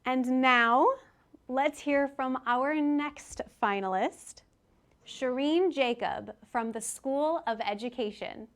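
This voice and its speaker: A woman hosts the event and interviews the finalists in an upbeat, encouraging tone. Her voice is fairly high. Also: slow pace. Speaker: event host. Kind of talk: hosting an interview.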